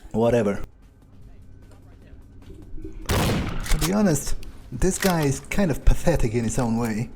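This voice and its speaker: A man's voice: medium pitch, lively intonation, takes it slowly, over music. A man thinking aloud in character as he plays a game, in an understated, musing way. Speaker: gameplay video creator.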